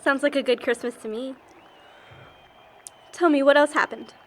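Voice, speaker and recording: A young woman with an American accent playing a gentle, supportive friend in an audio drama. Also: high-pitched, moderate pace, close to an everyday voice. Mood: sympathetic.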